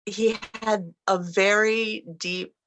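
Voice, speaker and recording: Middle-aged woman from central New York, earnest and measured guest over a video call, slight restarts, swollen lip. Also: medium pitch, fairly even intonation, fairly bright tone, slight background noise. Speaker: guest, author. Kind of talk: casual interview.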